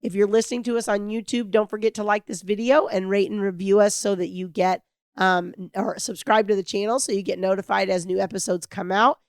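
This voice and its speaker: A middle-aged woman hosting a podcast alone, talking in an earnest, reflective and hopeful way, her voice raspy from a cold. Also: mid-range voice, steady tone, fairly quick, no echo, clean studio sound. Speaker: podcast host. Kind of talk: heartfelt solo podcast monologue.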